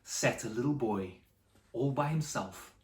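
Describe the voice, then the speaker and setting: A storyteller telling a Bible story to children, with dramatic pauses and repetition for emphasis. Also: mid-range voice. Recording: echoey room, distant microphone.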